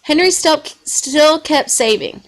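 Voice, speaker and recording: A woman reading a children's story aloud in an expressive way. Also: sits high, takes it slowly. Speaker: storybook reader.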